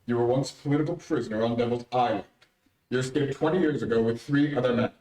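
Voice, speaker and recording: Grave vigilante for vintage radio drama, male actor: formal, deliberate, commanding, heard at a distance in a roomy space. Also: medium pitch, mellow, natural voice. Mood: probing. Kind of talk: 1930s radio drama acting. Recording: roomy, distant-miked stage recording.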